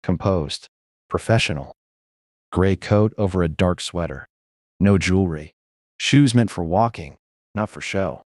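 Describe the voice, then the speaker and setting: Low-voiced man narrating noir fiction. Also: measured pace, pauses often, darkish tone, no echo, clean studio sound. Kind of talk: scripted first-person noir narration.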